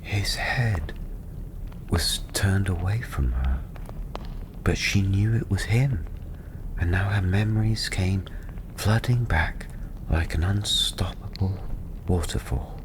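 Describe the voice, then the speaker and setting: Hushed, low, slow male ASMR storyteller. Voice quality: breathy, often half-whispered. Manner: hushed, soothing, unhurried. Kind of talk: softly spoken ASMR fantasy story narration. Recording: steady ambient background noise.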